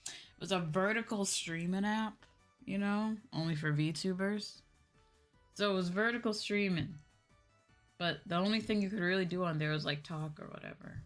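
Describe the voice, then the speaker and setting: A woman's voice: medium pitch, normal speed. A young adult woman chatting casually on a livestream, musing and hesitant as she answers chat, her voice a bit sore and tired from a day of talking. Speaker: VTuber streamer. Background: background music.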